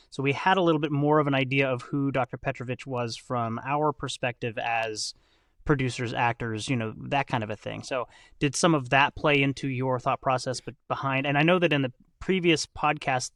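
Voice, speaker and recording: A man's voice: medium pitch, a bit bright, dry recording, studio quality. A man hosting a conversational podcast interview in a courteous, careful way, clarifying things for listeners. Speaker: podcast host.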